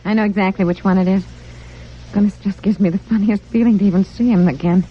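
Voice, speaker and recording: An actress playing a flighty, soft-hearted, nervous wife in a mid-century American radio comedy, chattering hesitantly. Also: female voice, medium pitch, fairly even intonation, quick pace, slightly rough, natural voice. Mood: chatty certainty. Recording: muffled, noisy old radio recording.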